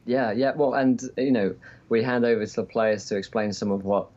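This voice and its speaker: A man's voice: on the low side, normal speed, darkish tone. A man calling in as an interview guest, explaining things in casual conversation over an internet call. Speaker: interview guest, game master. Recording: dull lo-fi call audio.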